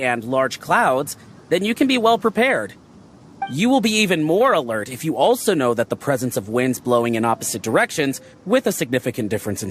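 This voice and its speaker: An actor playing a friendly male crew meteorologist who explains things in a relaxed, proud, instructive way, in a game's dialogue. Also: fairly high pitch, a little hiss or hum. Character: friendly male ship weather-watcher, confident and chatty. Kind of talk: game character dialogue. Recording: game audio with faint background music.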